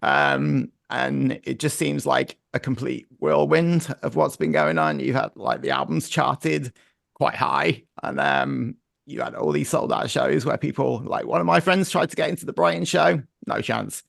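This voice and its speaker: A man interviewing a band over a video call, chatty and upbeat with a slightly croaky voice and frequent laughs. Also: medium pitch, measured pace, fairly bright tone, no room sound, clean studio sound. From Montreal-based.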